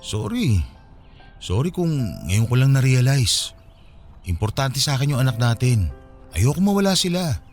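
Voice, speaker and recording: Animated, pleading Filipino husband played by a man. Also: medium pitch, normal speed, long pauses, soft background music, lightly acted. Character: remorseful, pleading absent husband and father. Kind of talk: radio drama acting.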